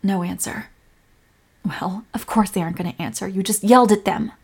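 A woman's voice: mid-range voice, fairly even intonation, moderate pace, creaky, breathy edge. A woman acting a hurt, loving girlfriend in a romantic audio roleplay, speaking emotionally and directly to her partner. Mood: self-reproaching, worried. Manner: emotional, swinging from sharp reproach to tender whispers. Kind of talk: scripted romantic audio roleplay, addressing the listener.

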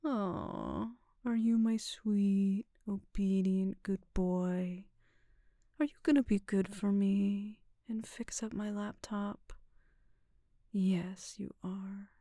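A woman performing an ASMR roleplay in a soft, teasing, drawn-out whisper, close to the mic. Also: medium pitch, lively intonation, dry recording.

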